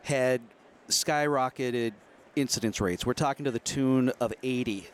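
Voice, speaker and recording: A man from Wisconsin working as an assistant professor, talking through a workplace success story in a quick, explanatory way. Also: mid-range voice, clean tone. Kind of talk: guest interview.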